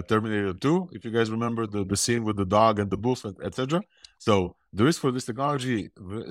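A low-voiced man with a mixed Russian and Israeli accent hosts a tech talk show. He speaks with eager enthusiasm and rambles through restarts. The recording is close and dry. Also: dry recording. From Ukraine. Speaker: podcast host. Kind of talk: casual tech news talk.

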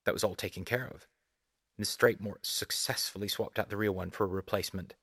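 A man's voice: lowish voice, fairly even intonation, brisk, a bit bright, breathy edge, no room sound, clean studio sound. A man voicing a formal, seething holographic executive with a clipped, legalistic manner in an audiobook. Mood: insistent, formal.

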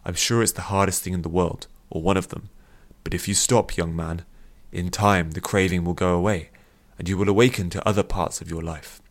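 A man as a patient old healer, low-pitched. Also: steady tone. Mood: gentle reassurance. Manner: measured, reasoning, reassuring. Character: calm, patient, kindly old male healer.

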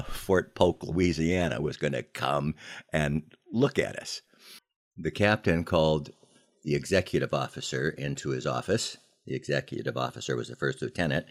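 Deep-voiced older American man telling stories. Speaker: podcast host telling army memories. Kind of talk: conversational storytelling with moral lessons.

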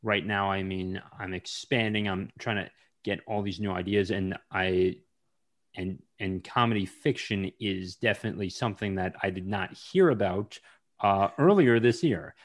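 A man hosting a hobby podcast interview, speaking haltingly with many fillers and restarts. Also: sits low. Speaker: podcast host. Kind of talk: casual interview.

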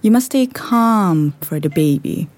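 An actress playing an urgent, alarmed hospital nurse in an audio drama. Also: female voice, on the low side, lively intonation, measured pace, a little mellow. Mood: urgent, controlled. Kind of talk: immersive audio drama acting.

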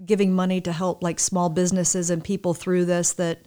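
A woman's voice: fairly low pitch, level tone, a little fast, dark tone. A woman chatting casually as a podcast co-host, putting in questions and short reactions.

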